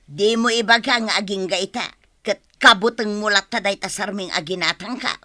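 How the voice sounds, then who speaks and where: A woman playing a lively, thrifty married village woman in an Ilocano radio comedy, expressive and full of exclamations, with an Ilocano accent. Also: medium pitch, moderate pace, no echo, clean studio sound, lightly acted. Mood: exasperated. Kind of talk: Filipino radio comedy drama acting.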